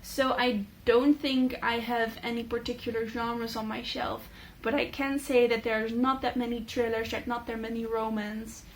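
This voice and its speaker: A young Dutch woman, a native Dutch speaker, chatting casually in a vlog with many fillers and thoughtful pauses. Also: on the high side, little pitch movement, slight background noise. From Netherlands. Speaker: book channel host. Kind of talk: casual talking-head vlog.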